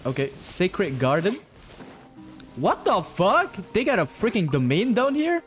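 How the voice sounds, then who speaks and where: A man's voice: high voice, huge pitch swings, a little slow. A man live streaming a game, reacting casually in slangy short bursts. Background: game music.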